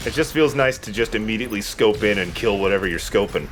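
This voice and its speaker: A man's voice: on the low side, fast talker, a little hiss or hum. A man giving casual, joking gaming commentary and banter as he plays, with some swearing. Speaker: let's play host playing the game. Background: game music.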